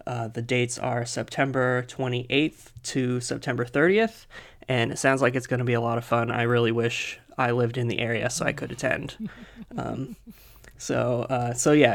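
A man co-hosting a casual podcast, chatty with lots of fillers, soft and a little husky as he comes down with a cold. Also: medium pitch. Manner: chatty, laughs often, many fillers. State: coming down with a cold. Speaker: podcast co-host.

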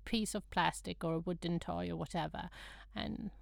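A woman co-hosting a casual podcast, thoughtful and quick to laugh. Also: on the low side, steady tone, creaky, dry recording. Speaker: podcast co-host.

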